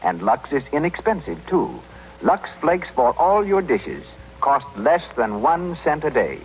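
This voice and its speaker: A man's voice: on the high side, very animated, unhurried. A man announcing and narrating a scripted advertisement in an old-time radio style. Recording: muffled old radio recording with noise.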